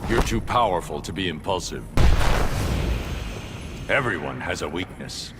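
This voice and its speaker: Stern vigilante for fighting game; deep, admonishing, cavernous reverb over loud battle noise. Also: male voice, expressive, measured pace. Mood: grim. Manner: grave, admonishing. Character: grim, commanding, controlled adult male vigilante mentor. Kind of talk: video game battle banter.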